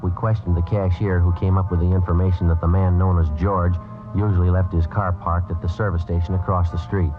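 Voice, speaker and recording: An actor playing a matter-of-fact American police detective in an old radio crime drama, terse, deadpan and businesslike. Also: male voice, deep voice, natural voice. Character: male police detective, clipped, matter-of-fact, methodical narrator. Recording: tinny old radio recording.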